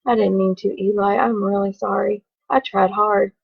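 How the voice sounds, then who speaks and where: A woman's voice: mid-range voice, level tone, normal speed, creaky, breathy edge, no room sound. Lady voicing a timid wooden puppet boy, pleading storybook voice, dull lo-fi sound.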